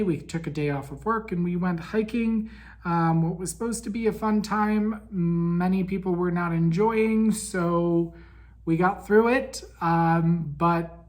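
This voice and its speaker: A man hosting a casual monthly podcast recap, raspy and weak in breath from a head cold, chatty with frequent ums. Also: high voice. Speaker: podcast host.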